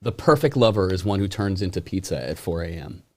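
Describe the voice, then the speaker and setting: A man co-hosting a podcast, bantering in an animated, joking way. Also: sits low, fairly quick. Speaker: podcast co-host. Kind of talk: casual joking podcast chat.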